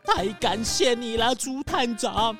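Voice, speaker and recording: A woman's voice: a little high, expressive, slow pace, a bit bright, faint music behind, full character voice. A woman voicing a rich, anxious, food-loving male squirrel tycoon in a children's story, fussy and full of exclamations. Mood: delighted. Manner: fussy, exclamatory, repeats words. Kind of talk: children's audio story acting.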